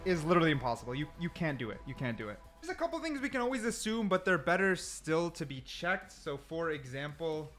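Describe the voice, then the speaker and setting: Male gaming creator, animated, slightly high voice, casual think-aloud delivery with emphatic repetition. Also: quick pace, few pauses, soft background music. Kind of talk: casual gameplay commentary. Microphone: desk mic, sometimes turns away from it.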